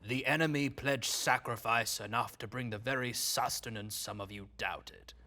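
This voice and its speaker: A man's voice: medium pitch, normal speed, sharp tone. A man playing a proud ruler giving an imperious oration in a fantasy drama table read. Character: proud male ruler addressing subjects from an altar.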